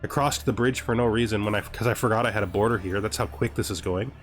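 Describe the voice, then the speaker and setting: A man's voice: fairly low pitch, quick pace, soft background music. A man giving casual gameplay commentary, thinking aloud and often muttering questions and then answering them himself. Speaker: gamer narrating a let's play.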